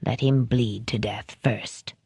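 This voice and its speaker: A woman voicing a cold, refined and sadistic male crime boss who speaks with soft, clipped menace. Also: rumbling low, fairly even intonation, slow, husky, no room sound, clean studio sound, voice pushed down, exaggerated voice.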